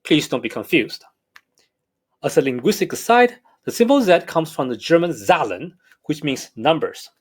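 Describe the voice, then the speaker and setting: Hong kong accented guy, math prof, fairly high voice, swoops up and down a lot, careful explaining. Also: big pitch swings, normal speed, slightly rough. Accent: Hong Kong English. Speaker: math professor. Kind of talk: recorded lecture narration.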